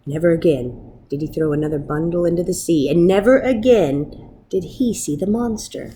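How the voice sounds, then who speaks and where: A woman reading a children's story aloud in an expressive, dramatic manner with measured pauses. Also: fairly low pitch, a little hiss or hum. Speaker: storybook reader.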